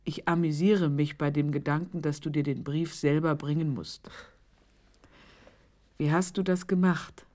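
A very low-voiced woman reads an affectionate, ornate letter aloud in a radio play. She takes it slowly, pausing and savouring the florid phrases. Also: steady tone, mellow. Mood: affectionate, amused.

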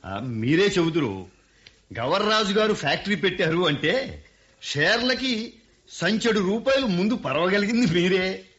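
An actor playing a smooth-talking, flattering businessman in a radio play. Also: high-pitched, measured pace. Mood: persuasive. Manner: flattering, persuasive. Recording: dull lo-fi radio recording.

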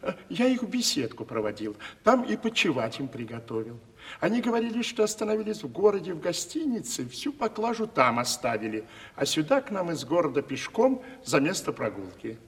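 An old-fashioned household servant in a vintage radio drama, fussy and respectful. His high voice bobs up and down as he hesitates, ringing in a reverberant space. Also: very animated, a little fast. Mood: respectful, hesitant. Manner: obsequious, hesitant servant speech. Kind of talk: theatrical 1970s Russian radio play acting.

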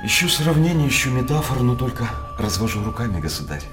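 An actor playing a sly, flattering, mock-pious court jester, declaiming wryly in a radio verse drama. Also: male voice, medium pitch, fast, music in the background, lightly acted. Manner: wry, declamatory.

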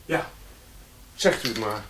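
An actor playing a restless adventurer in a radio play, earnest and direct. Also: male voice, fairly low pitch, lively intonation, slow, a bit bright, a little hiss or hum. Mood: eager, wary. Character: restless adventurer eager for a dangerous job. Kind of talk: radio drama acting.

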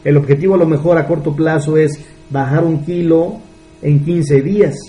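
A man's voice: a little high, steady tone, moderate pace, dark tone. A man in his thirties with a Mexican accent, giving an earnest motivational business talk and asking rhetorical questions. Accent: Mexican Spanish. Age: 30s. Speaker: business mentor.

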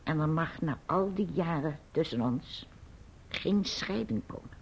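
A woman playing a grim but kindly old great-aunt in a radio play, speaking deliberately and admonishingly in a husky voice. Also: low-pitched, animated, slight background noise. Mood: earnest, tender. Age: older. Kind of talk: Dutch radio play acting. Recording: dull, muffled radio recording.

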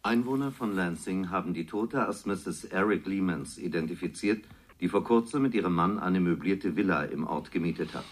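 An actor playing a sober radio news reader, delivering a scripted bulletin within a radio drama. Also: male voice, low voice, normal speed, slight background noise, natural voice. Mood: matter-of-fact.

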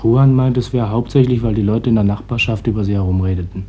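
A young fisherman's son acted by a man in a German radio drama, earnest and defiant. His voice is dark and soft-edged and he speaks bluntly. Faint noise sits on the recording. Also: lowish voice, fairly even intonation, quick pace, natural voice. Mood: grave, recounting. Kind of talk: German radio play acting.

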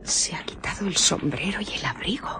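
Woman acting fussy, animated village innkeeper woman. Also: fairly low pitch, fairly quick, whispered, close to an everyday voice. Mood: nosy. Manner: exclaims, mutters asides to herself. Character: nosy, chatty, flustered village innkeeper woman. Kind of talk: radio drama acting.

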